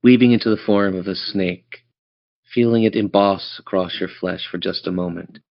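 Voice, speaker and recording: A man's voice: deep voice, steady tone, normal speed, vocal fry. A man running a tabletop role-playing game, narrating scenes in a descriptive, theatrical storyteller's manner. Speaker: game master. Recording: dull lo-fi audio.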